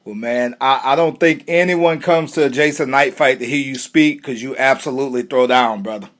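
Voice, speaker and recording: A man's voice: mid-range voice, moderate pace, fairly bright tone. A man interviewing a fighter in a casual, friendly way, often calling him brother. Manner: friendly, calls guest brother.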